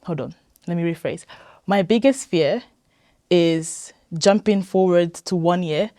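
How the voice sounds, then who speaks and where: A woman's voice: fairly low pitch, unhurried, mellow, no echo, studio quality. A woman in her twenties from Italy, chatting casually and emphatically as a guest in an interview. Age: 20s. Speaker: podcast guest, weight loss programme member.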